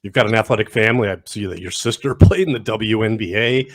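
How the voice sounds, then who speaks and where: A man with a somewhat low voice co-hosts a sports interview. He asks long, measured questions and sets each one up carefully before getting to the point. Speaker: co-host.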